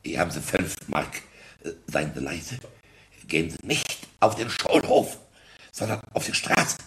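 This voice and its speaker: An actor playing a flustered schoolteacher giving urgent orders in a German radio play. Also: male voice, low voice, sing-song, unhurried, a bit bright, a little hiss or hum, exaggerated voice. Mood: alarmed, urgent. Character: flustered male schoolteacher, commanding his class.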